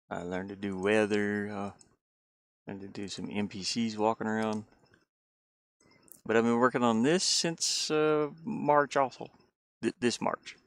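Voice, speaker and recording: Male indie developer on stream, deep-ish fry-heavy voice, informal and meandering, trails off mid-thought. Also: a bit bright. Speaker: indie game developer livestreaming. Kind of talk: casual livestream chat while demoing a game.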